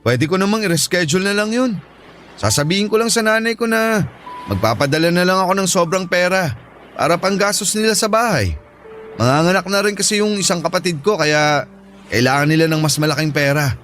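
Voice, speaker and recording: A man plays a generous, caring shipmate in an audio drama. His voice is notably high for a man, with an easygoing, encouraging delivery. Faint music sits behind it. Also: expressive, a little fast, fairly bright tone. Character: caring, generous male Filipino shipmate and buddy. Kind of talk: Filipino audio drama acting.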